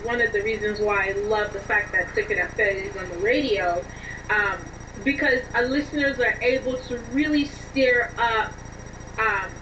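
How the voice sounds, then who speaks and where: A woman being interviewed, explaining things in an expressive, emphatic conversational way over a distant, dull and noisy connection. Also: mid-range voice, moderate pace, music in the background. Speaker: interview guest, scriptwriter.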